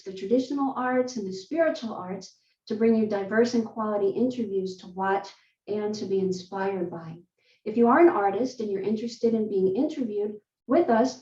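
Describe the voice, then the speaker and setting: A woman hosting an online interview, speaking in a friendly, measured way over a video call. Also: medium pitch, warm tone. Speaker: host. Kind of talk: scripted intro, then interview. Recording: roomy, distant, dull video call audio.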